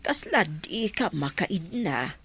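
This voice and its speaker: A woman's voice: fairly low pitch, very animated, slow pace, a bit bright, slight background noise, full character voice. A woman voicing a blunt, fretting elderly grandmother with a Filipino accent in an audio drama. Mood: fretful, blunt.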